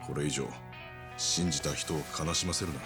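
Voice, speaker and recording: Stern man, solemn game-drama delivery, very fast speech, guitar music behind. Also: rumbling low, steady tone. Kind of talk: Japanese video game voice acting.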